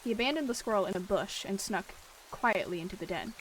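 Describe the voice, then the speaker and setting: A woman's voice: medium pitch, animated, a little fast, a little hiss or hum, rough recording. A woman hosting and narrating a roleplay story, casual with fillers when speaking to viewers.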